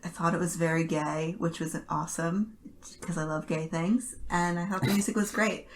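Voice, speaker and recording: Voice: mid-range voice, fairly even intonation, moderate pace. A podcast host chatting casually, with a faint noise in the recording. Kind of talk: casual chat.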